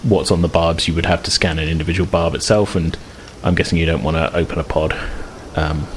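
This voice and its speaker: A man with a very low voice acts as game master for a tabletop game. He explains the scene in a hesitant, rambling flow, making it up as he goes. There is some faint noise behind him. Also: steady tone, a little fast, poor audio. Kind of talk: improvised narration of a tabletop game.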